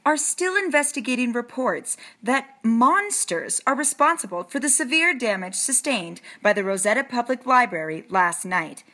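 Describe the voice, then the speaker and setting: A formal TV news reporter, put on by a woman narrating a story, delivers the report in measured broadcast tones. Her voice is bright and a little high. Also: full character voice.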